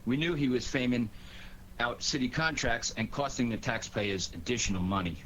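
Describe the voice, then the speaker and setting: Guy playing polite earnest american secretary, average pitch, old 40s radio drama, bit of noise. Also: moderate pace, a bit bright, muffled recording, lightly acted. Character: earnest, deferential male private secretary. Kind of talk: 1940s radio acting. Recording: faintly noisy 1940s radio recording.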